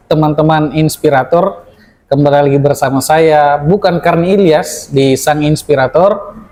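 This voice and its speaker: A man's voice: on the high side, darkish tone. A man hosting a casual interview podcast, talking eagerly with many fillers. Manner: chatty, eager, with frequent fillers. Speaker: podcast host. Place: cafe.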